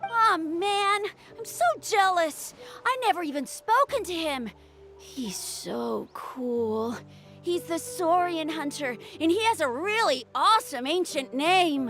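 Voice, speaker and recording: A woman voicing a bossy, eager young village child in a video game, very expressive. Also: very high voice, slow pace, big-room sound, faint music behind, heavily acted. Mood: admiring.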